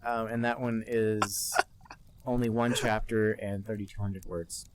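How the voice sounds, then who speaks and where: A man co-hosting a comedy podcast, dropping dry, deadpan quips in casual chat. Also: on the low side, a little slow, heavy background noise. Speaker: podcast co-host.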